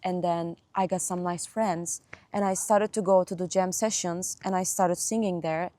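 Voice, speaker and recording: A young woman from Turkey, whose first language is Turkish, chatting as a guest musician in an interview, laughing often and pausing with fillers. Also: fairly low pitch, steady tone, warm tone, no room sound. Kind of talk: casual interview chat.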